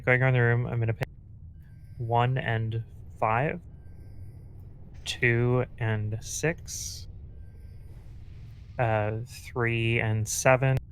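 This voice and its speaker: A man helping assign groups in casual gaming voice chat, halting as he works through logistics aloud. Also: medium pitch. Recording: faint noise on gaming voice chat.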